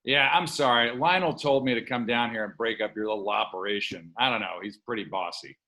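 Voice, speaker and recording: A man's voice: mid-range voice, a little fast, pushed and bright. A man in a sitcom table read playing a sheepish, apathetic king of the gods, mumbling and offhand.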